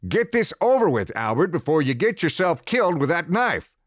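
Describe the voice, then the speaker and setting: Man voicing a harsh rural father, gruff and commanding; animated pitch, dry recording. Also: unhurried, dry recording. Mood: angry. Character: harsh rough farmer father, gruff, impatient. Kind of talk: audiobook character voice.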